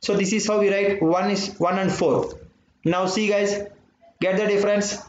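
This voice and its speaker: A man's voice: sits high, steady tone, moderate pace. A man with an Indian English accent teaching a live online math class. He repeats phrases and keeps asking whether his listeners understood. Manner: repeats phrases, keeps saying "my dear friends" and "understood or not". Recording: dull, roomy audio with faint noise.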